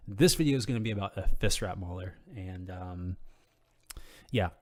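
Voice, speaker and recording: A man with a lowish, slightly scratchy voice that sounds like it is wearing out. He explains a game in a loose, unscripted ramble, stopping and restarting his sentences often. His delivery stays easygoing and conversational. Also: moderate pace. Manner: rambling, hesitant, full of ums and restarts; relaxed, casual explaining. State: slightly hoarse, losing his voice. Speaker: game guide video creator. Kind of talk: unscripted game tutorial commentary.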